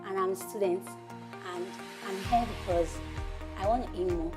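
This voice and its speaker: A twenty-year-old woman student introducing herself quickly and eagerly. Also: mid-range voice. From Nigeria. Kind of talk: self-introduction. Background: background music.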